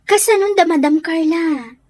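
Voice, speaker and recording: A woman's voice: very high-pitched, moderate pace, darkish tone, dry recording. A woman playing a shy, respectful young housemaid in an Ilocano radio drama. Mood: respectful, concerned.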